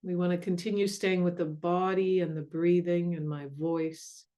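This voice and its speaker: A woman leading a guided meditation in a gentle, soothing, unhurried way with long pauses. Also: fairly low pitch, level tone. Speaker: meditation guide and mindfulness coach.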